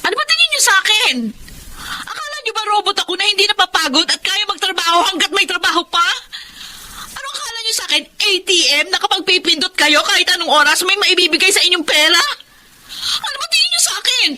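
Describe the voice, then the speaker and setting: An actress playing an exhausted young breadwinner daughter in a Filipino radio drama, emphatic and pleading. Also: female voice, very high-pitched, very animated, fast, bright, forceful tone, a little hiss or hum, heavily acted. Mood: anguished outburst. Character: exhausted, fed-up breadwinner daughter, working woman.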